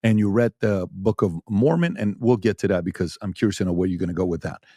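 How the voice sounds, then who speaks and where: A man's voice: sits low, fast, warm tone, husky. A man hosting a podcast interview, asking curious, leading questions. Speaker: podcast host.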